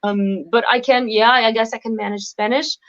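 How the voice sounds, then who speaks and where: A woman's voice: a little high, steady tone, normal speed, highs cut off. A woman whose first languages are French and Moroccan Arabic, chatting as a guest singer in a casual live video interview, laughing often and using many fillers. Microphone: video call.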